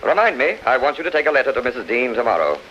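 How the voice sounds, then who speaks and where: Swooping, florid old gallant. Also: male voice, medium pitch, sing-song, racing, fairly bright tone, a little hiss or hum. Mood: conspiratorial command. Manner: theatrical, grandiloquent, hesitating for effect. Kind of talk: 1930s radio drama acting. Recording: dull 1930s radio recording, faint music.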